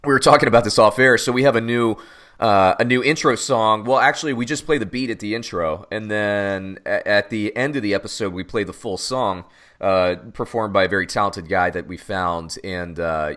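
A man's voice: fairly low pitch, fairly quick, a bit bright. Joking, rambling male sports podcaster. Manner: joking, teasing, rambling with restarts. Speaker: podcast co-host. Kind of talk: casual sports podcast chat.

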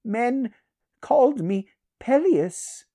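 A woman's voice: a little high, lively intonation, drawn-out words, warm tone, voice pushed up, full character voice. A woman voicing an ancient aristocratic male sorcerer with a wry, archaic, theatrical manner in a fantasy audiobook. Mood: grave, courtly.

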